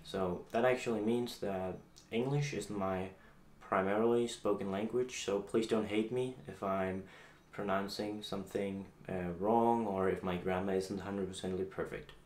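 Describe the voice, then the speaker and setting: Danish teen guy vlogging at home, lowish voice, bit hesitant, roomy echo. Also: fairly even intonation, a little slow, hardly any pauses, darkish tone. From Denmark. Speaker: YouTube vlogger introducing a channel. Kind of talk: casual talk to camera. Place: small home office room, indoors. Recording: echoey room with faint background noise.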